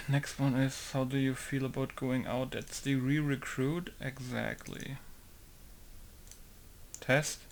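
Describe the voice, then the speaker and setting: A man's voice: medium pitch, little pitch movement, slow pace, gravelly, long pauses, pushed and bright, slight background noise. Man muttering while modding a game. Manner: thinking aloud, repeating test words. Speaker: game modder. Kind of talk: casual commentary while working.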